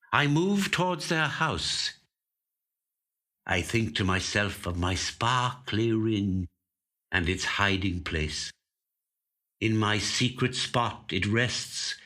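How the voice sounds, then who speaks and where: Man reading as deep, bright-voiced watchful old magpie. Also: exaggerated voice. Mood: wistful, covetous. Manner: measured, deliberate, dramatic storytelling. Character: aging magpie, watchful, covetous hoarder of shiny things. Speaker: actor reading a short story. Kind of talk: scripted first-person literary narration. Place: recording studio.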